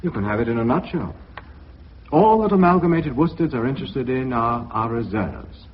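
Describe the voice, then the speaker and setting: An actor playing an urbane British company director, polite, dry and sardonic, in a radio drama. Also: male voice, mid-range voice, lively intonation, normal speed, a little hiss or hum, close to an everyday voice. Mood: grave. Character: urbane, drily witty male company director. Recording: dull lo-fi radio recording.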